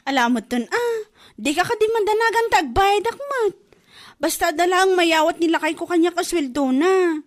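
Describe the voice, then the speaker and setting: Woman acting as village wife, very high voice, brash, nagging, pleading, comedy drama. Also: expressive, moderate pace. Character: brazen village wife who keeps borrowing on credit.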